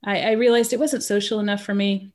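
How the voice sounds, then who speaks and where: American woman, mid-pitch, guest expert, chatty and quick to laugh. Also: fairly even intonation, darkish tone. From California. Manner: chatty, laughs often between points. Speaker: pricing consultant guest. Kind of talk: casual interview conversation.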